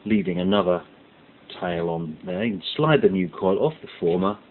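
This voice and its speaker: A man's voice: low voice, animated, moderate pace. A man presenting a hands-on science tutorial, explaining each step methodically and stressing the key words. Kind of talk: step-by-step instructional demonstration. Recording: muffled lo-fi audio with background hiss.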